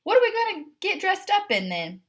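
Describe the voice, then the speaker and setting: A woman voicing a vain, fussy, excitable female pet cat in a prim, dramatic way for a children's storybook. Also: very high voice, brisk, voice pushed up, full character voice. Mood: curious. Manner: prim, self-admiring, dramatic.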